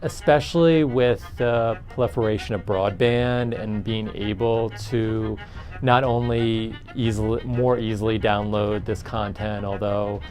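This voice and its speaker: A man's voice: fairly low pitch, steady tone, a little slow, a little mellow, faint music behind. A man who teaches, explaining things informally in a documentary interview. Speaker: audio production teacher.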